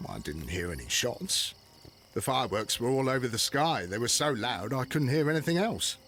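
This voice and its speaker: Lively-toned, dutiful English police constable. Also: male voice, medium pitch, moderate pace, slight background noise. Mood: earnest, factual. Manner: matter-of-fact, reporting. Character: earnest, dutiful Victorian male police constable. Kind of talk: video game drama acting.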